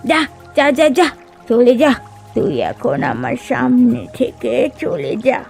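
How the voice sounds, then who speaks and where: A woman voicing a scornful, defiant old village crone in a cartoon fairy tale, taunting and scolding in a creaky voice. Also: a little high, sing-song, slow pace, darkish tone, full character voice. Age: older. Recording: background music under dialogue.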